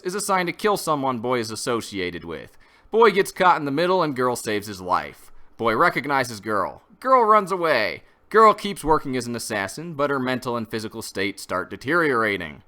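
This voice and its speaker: A man's voice: medium pitch, sharp tone. A man reading a scripted review in an emphatic, opinionated way, as the host. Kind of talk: scripted comic review narration.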